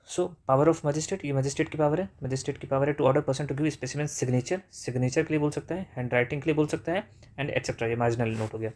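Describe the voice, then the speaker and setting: A man with an Indian English accent walks through a legal provision like a lecturer. He poses a question, then answers it himself, switching between slow, deliberate reading of the text and quick asides. His pitch sits in an ordinary middle range. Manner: explains step by step, asks and answers his own questions. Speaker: law lecturer. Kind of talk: explanatory legal lesson mixing Hindi and English.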